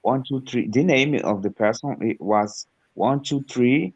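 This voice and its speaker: Portuguese-speaking male teacher, slow hesitant English, lowish voice, muffled call audio. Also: darkish tone. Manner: halting non-native English, many fillers. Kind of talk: casual conversation practice. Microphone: video call.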